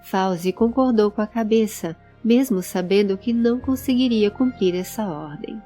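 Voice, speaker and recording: A woman's voice: medium pitch, moderate pace, warm tone. A woman narrating a scripted audio drama. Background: background music.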